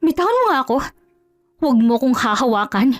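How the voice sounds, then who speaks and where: A woman's voice: sits high, very animated, fast, no room sound, faint music behind. A woman voicing a proud, wronged young heroine in an audio drama, emotional and defiant. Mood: indignant.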